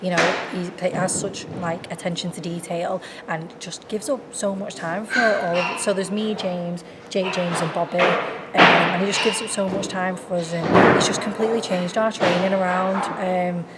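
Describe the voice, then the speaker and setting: A woman's voice: fairly low pitch, steady tone, normal speed, heavy background noise. A young woman boxer from Warrington with a Northwest English accent, chatting in an interview and laughing often between answers. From Warrington, England. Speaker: professional boxer.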